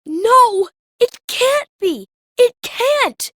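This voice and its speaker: A woman voicing an upset, rough-spoken young boy mage with streetwise, ungrammatical speech in an audiobook. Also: extremely high pitch, sing-song, drawn-out words, sharp tone, no echo, clean studio sound, heavily acted. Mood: distraught, pleading. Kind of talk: audiobook character acting.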